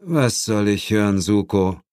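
A man voicing a wry, deadpan ghost-hunter hero in an audiobook. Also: fairly low pitch, measured pace, clear, resonant tone, no echo, clean studio sound. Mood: feigned innocence.

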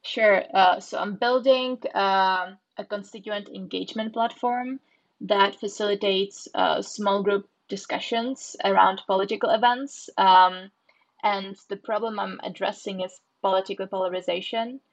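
A woman's voice: medium pitch, fairly even intonation, unhurried, muffled recording. A woman from the Republic, a startup founder answering interview questions in a thoughtful way with frequent fillers. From Czech Republic.

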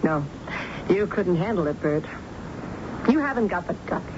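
A woman's voice: fairly low pitch, animated, moderate pace, natural voice. A woman playing a ruthless, domineering businesswoman who is taunting and defiant, in a radio drama. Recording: dull, noisy old radio broadcast.

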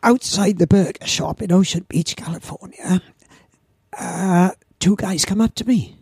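A man in his seventies with a Welsh accent and a croaky old voice, chatting as a podcast guest and telling lively stories that repeat words for effect. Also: high-pitched, measured pace, dry recording, clean studio sound. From Wales. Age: 70s. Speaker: guest, veteran surfer. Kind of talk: casual anecdotal chat.

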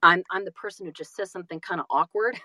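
A woman's voice: on the low side, quick pace, bright, forceful tone, no echo. A middle-aged woman chatting casually on a podcast, self-deprecating, often restarting and repeating her phrases. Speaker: audiobook narrator and guest.

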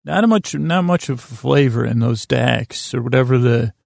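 A man hosting a sleep podcast with a rambling monologue, halting and stammering through false starts in a self-deprecating, meandering way. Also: medium pitch, gravelly, darkish tone, no echo, clean studio sound. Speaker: podcast host.

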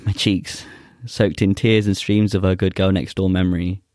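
Deep, flat-voiced young man. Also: no room sound, clean studio sound. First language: Urdu. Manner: earnest, halting with many fillers. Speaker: poet guest. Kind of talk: casual chat and spoken-word poetry recital.

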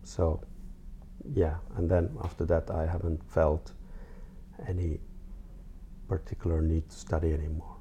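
A 42-year-old man from Finland with a Finnish accent, talking casually and haltingly as an interview guest. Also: rumbling low, flat delivery, dark tone, muffled recording, a little hiss or hum. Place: artist's studio.

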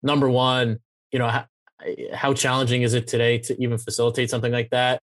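A man's voice: medium pitch, monotone, fairly quick, no echo, clean studio sound. A man hosting a conversational business interview, rephrasing his questions as he goes. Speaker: show host, interviewer.

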